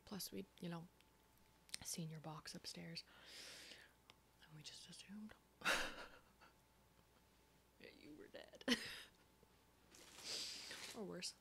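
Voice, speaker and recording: A woman playing a foul-mouthed, sardonic apocalypse survivor in an ASMR horror roleplay, breathy, dry and often whispering. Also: on the low side, bright, forceful tone, a little hiss or hum, heavily acted. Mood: relaxed, wry. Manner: sweary, dry, unhurried.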